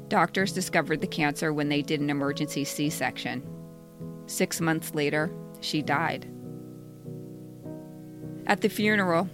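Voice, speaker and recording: A middle-aged American woman reading a scripted personal story in a measured way, in short plain sentences. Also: deep voice. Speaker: podcast co-host reading their own essay.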